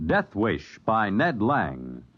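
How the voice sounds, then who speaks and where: A man's voice: on the low side, wildly swooping pitch, slow pace, heavily acted. A man announcing a radio drama in a dramatic 1950s broadcast style. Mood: solemn, dramatic. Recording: dull vintage radio broadcast recording.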